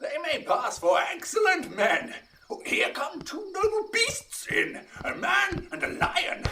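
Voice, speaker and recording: Jovial mocking duke male actor, very high lively voice, bright, recorded at a distance with crickets behind. Shakespearean. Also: takes it slowly, vocal fry, noisy background, heavily acted. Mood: amused. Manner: teasing, theatrical, witty. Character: jovial Athenian duke mocking an amateur play. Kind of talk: Shakespearean stage acting.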